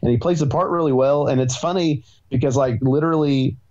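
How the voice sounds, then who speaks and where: A man's voice: mid-range voice, fairly quick, a little mellow. A young man chatting on a podcast about movies, filling his talk with "like". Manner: chatty, fillers of "like". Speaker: podcast co-host. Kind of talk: casual chat about films. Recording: dull lo-fi sound.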